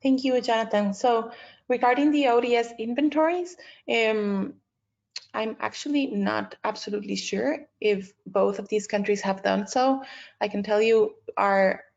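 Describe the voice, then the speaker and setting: A woman's voice: on the high side, measured pace, gravelly, darkish tone. A woman hosting an online panel, speaking off the cuff in a polite, accommodating way. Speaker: host and moderator of an online panel. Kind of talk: moderating a webinar, unscripted. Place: video conference call. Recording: dull lo-fi online call audio.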